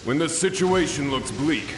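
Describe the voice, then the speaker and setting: A devoted samurai leader, earnest and rousing, echoey with noise. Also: male voice, a little high, animated, measured pace. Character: steadfast male samurai leader, rallying and devoted. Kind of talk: video game dialogue.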